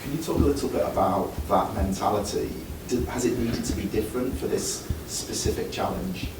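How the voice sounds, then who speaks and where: Low-voiced guy asking a question, echoey room, noisy. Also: big pitch swings, a little fast, gravelly. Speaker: journalist. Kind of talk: press conference question. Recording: roomy, distant mic, noisy.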